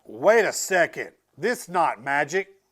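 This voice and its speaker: Man reading as a doubting schoolboy, storybook character, loud, challenging, exclaiming. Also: fairly high pitch, big pitch swings, a little slow, heavily acted. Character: sceptical boy named Ryan, storybook non-believer.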